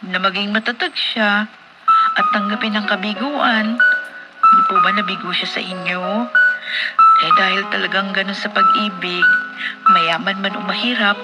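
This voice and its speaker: An actress playing a humble, patient young housemaid in a radio drama, speaking in a deferential, sincere manner. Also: female voice, mid-range voice, steady tone, moderate pace, pauses often, lightly acted, poor audio. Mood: earnest, reassuring. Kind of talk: Philippine radio drama acting. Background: music.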